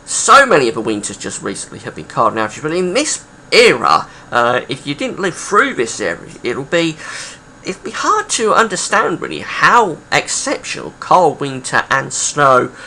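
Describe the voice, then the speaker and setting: Croaky man with lively pitch. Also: moderate pace, slight background noise, rough recording. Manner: chatty, rambling with many uh fillers. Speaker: weather video host. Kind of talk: casual narrated weather history talk.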